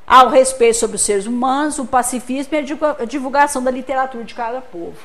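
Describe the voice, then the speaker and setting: High-voiced Brazilian woman lecturing. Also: quick pace, fairly bright tone. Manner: explains patiently, uses homely examples, checks with "tá?". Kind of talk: spiritist center lecture.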